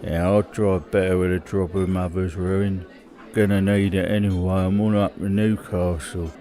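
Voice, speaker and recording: A man's voice: low voice, little pitch movement, moderate pace, mellow, a faint crowd, lightly acted. A man acting a middle-aged, seasoned criminal with a British accent, talking in a matter-of-fact, colloquial way in a drama. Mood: world-weary, casual. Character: seasoned, hard-drinking criminal old hand, casually cold. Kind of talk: naturalistic audio drama dialogue.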